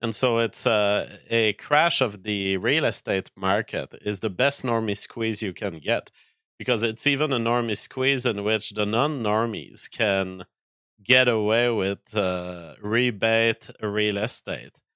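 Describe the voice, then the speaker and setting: A man hosting a casual livestream whose voice is weak and tired tonight, talking haltingly with many restarts as he answers viewer chat. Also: lowish voice, sharp tone, smooth, no echo, studio quality.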